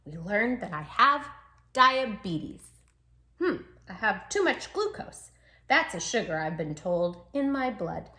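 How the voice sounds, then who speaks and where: A woman's voice: medium pitch, very animated, takes it slowly, bright, forceful tone, heavily acted. A woman voicing a sweet, plucky little male dachshund who tells his own storybook tale with earnest, dramatic emphasis. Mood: earnest, wondering. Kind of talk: children's storybook read-aloud.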